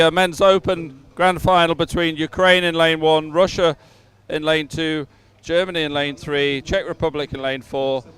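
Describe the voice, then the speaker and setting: A male sports presenter speaks in a fairly high register. His voice is extremely clear and smooth. He announces formally and with emphasis, as live commentary. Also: fairly bright tone.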